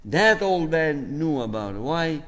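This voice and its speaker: An older man from Albania with an Albanian accent, speaking as an imam in a rambling, storytelling way to a community gathering, drifting into asides and gentle exhortation. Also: a little high, sing-song, measured pace. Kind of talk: informal talk to a community gathering. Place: mosque community hall. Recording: echoey hall reverb.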